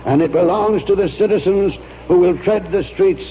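A man's voice: high voice, moderate pace, background noise. A man acting a proud, earnest old colonel in a 1940s radio drama, speaking in formal, impassioned oratory. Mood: oratorical. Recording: dull 1940s radio recording, loud music.

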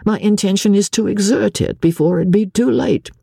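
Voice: mid-range voice, moderate pace, vocal fry, mellow, slightly rough, no echo, studio quality, pitched above the performer's own voice. A reader voicing a cold, aloof aristocratic husband who speaks in a formal, drawling manner. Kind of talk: audiobook character voice.

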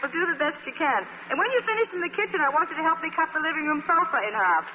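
An actress playing a brisk, poised career woman who manages everyone, in 1940s radio comedy style. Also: high voice, lively intonation, big-room sound. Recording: noisy, hollow, narrow-band old radio broadcast.

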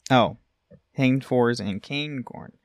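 Male podcast co-host, mid-pitched, cheerful banter, dry close-mic sound. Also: slow pace, dry recording. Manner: upbeat, joking banter. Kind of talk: casual podcast chat.

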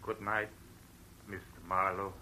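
An actor playing a grieving, kindly older rural man who speaks haltingly, in 1940s radio drama style. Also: sits low, level tone. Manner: halting, hesitant. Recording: noisy old radio recording.

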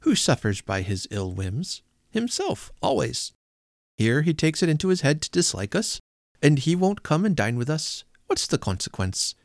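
A man's voice: on the high side, very animated, moderate pace, no room sound, studio quality, pitched above the performer's own voice. A man voicing a cheerful, good-natured young man who laughs heartily, in a storybook reading. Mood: good-humoured. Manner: jovial, laughing.

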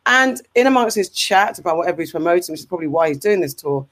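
A woman with an English accent, hosting a casual discussion show, talking in an animated, rambling way with fillers and restarts. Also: lowish voice, quick pace. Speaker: podcast host.

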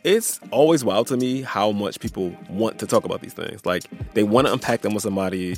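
Brief: male co-host, gravelly texture, relaxed and curious, casual talk. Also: fairly low pitch, a little fast, soft background music. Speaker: podcast co-host. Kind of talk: casual conversational chat.

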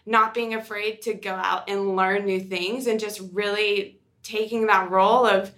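A woman's voice: medium pitch, little pitch movement, unhurried, fairly bright tone. A woman co-hosting a podcast in casual conversation, chatty and upbeat, often repeating words for emphasis. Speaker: podcast co-host.

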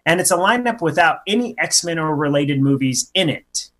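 A man's voice: on the high side, animated, normal speed, a bit bright. A man presenting entertainment news on a radio show, reading casually with commentary on a studio mic.

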